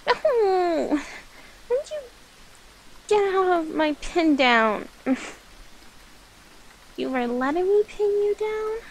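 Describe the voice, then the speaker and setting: A shy, clingy catgirl familiar, acted by a woman for an ASMR roleplay. The voice is very high and slow, with flustered, halting, pleading delivery. There is some faint noise behind it. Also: very animated, exaggerated voice, low-quality recording. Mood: playful, flustered.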